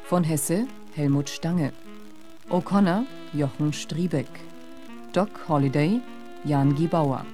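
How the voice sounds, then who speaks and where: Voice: medium pitch, takes it slowly, mellow. An announcer reading radio drama credits in a formal, scripted manner. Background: music.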